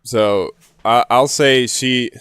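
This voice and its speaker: A man's voice: medium pitch, steady tone, takes it slowly, a bit bright, clean tone, dry recording, clean studio sound. A man talking casually as a player in a tabletop role-playing game.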